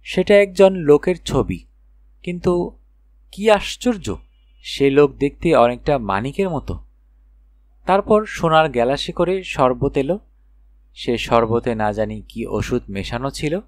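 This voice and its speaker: A man narrating a children's fairy tale in an expressive storytelling manner with dramatic phrasing. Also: medium pitch, measured pace, long pauses, dry recording. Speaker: audiobook narrator.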